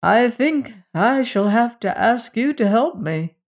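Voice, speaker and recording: A woman's voice: fairly high pitch, animated, slow pace, pitched above the performer's own voice, exaggerated voice. Woman as an earnest, honest old man. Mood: weary. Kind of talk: storybook character voice.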